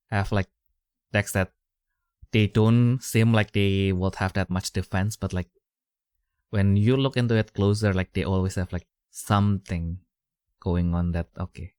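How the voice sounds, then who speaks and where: Young guy rambling on a podcast, lots of likes and uhs, lowish voice, dry sound. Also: a little mellow, no room sound. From Indonesia. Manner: rambling, many fillers and restarts. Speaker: podcast host. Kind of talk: casual chat.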